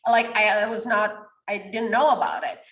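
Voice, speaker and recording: A woman's voice: mid-range voice, little pitch movement, normal speed, fairly bright tone, reverberant, low-quality recording. A woman in her forties from Romania, a coach talking animatedly in a podcast interview and sometimes searching for words. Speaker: guest, coach.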